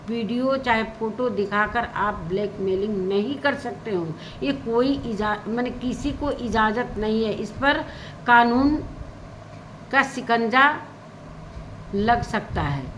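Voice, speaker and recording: A woman's voice: a little high, slow pace, fairly bright tone, slight background noise, poor audio. A woman vlogger giving casual, opinionated commentary, repeating her points for emphasis. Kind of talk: casual spoken commentary on social media gossip.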